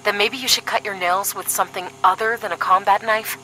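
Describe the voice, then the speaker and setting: A woman acts out a dry, sarcastic young female agent in an audio drama. Her voice is medium in pitch and clearly bright, serious and a little weary, with faint noise behind it. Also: steady tone, brisk, lightly acted, low-quality recording. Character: sardonic, duty-minded young female paramilitary agent, weary sister. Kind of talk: scripted audio drama dialogue.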